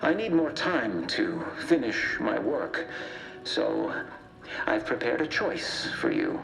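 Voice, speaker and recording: A man's voice: medium pitch, huge pitch swings, creaky, heavily acted. A man playing a sinister, theatrically taunting villain who toys with hostages in a video game. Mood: menacing, mocking. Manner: slow, theatrical, taunting delivery. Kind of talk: video game cutscene acting. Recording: echoing voice over faint music.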